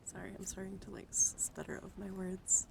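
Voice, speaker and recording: A woman hosts a casual ASMR livestream in a soft voice that often slips into a whisper. Her middle-pitched voice has a marked gravelly fry. She chats and reads aloud close to the microphone, with clear noise behind her. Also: steady tone, a little fast. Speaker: live streamer hosting a trading card stream. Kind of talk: ASMR, casual card show-and-tell.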